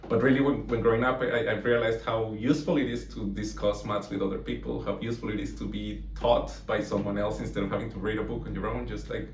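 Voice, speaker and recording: A man's voice: on the low side, level tone, fast, few pauses. A man from Colombia, a mathematics lecturer in his thirties, answering interview questions thoughtfully with many fillers and restarts. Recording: echoey room from far off, faint noise.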